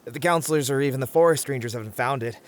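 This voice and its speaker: A man's voice: medium pitch, rapid-fire, lightly acted. A man playing a cautious, sensible young camper in an ASMR roleplay drama, friendly and reasoning, wry and easily exasperated. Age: young adult.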